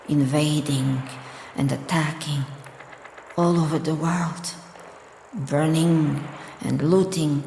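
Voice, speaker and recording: A woman's voice: low-pitched, darkish tone, a little hiss or hum. An actress playing a haunted, frightened but determined female soldier in a radio drama, solemn and intense, her inner thoughts set in reverb. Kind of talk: radio drama acting, interior monologue. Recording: long echoing reverb, faint music.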